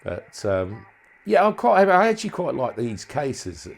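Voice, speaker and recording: A man giving a casual, unscripted walkthrough as a hobbyist presenter, thinking aloud in a halting way. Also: mid-range voice, sing-song, creaky. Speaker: hobbyist presenter demonstrating a modified radio.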